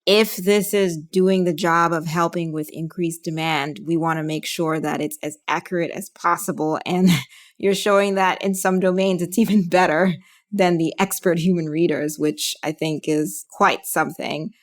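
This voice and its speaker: A woman's voice: fairly low pitch, fairly even intonation, normal speed. A woman hosting a medical podcast interview, affirming her guest and recapping before she asks questions. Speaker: podcast host, physician interviewer.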